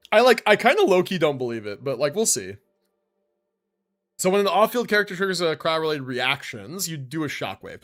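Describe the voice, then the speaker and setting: An American man chatting casually on a live game stream in an animated, slangy, joking way. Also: sits high.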